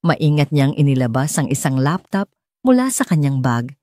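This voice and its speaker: A woman's voice: low-pitched, big pitch swings, moderate pace, mellow, dry recording, clean studio sound. A woman reading a scripted romance story as an audiobook narrator.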